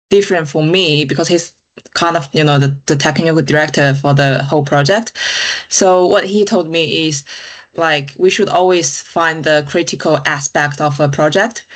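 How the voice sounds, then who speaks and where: A woman from China, a podcast guest speaking thoughtfully and often saying "you know" and "kind of". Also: sits low. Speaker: guest, digital engineer. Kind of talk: casual interview chat.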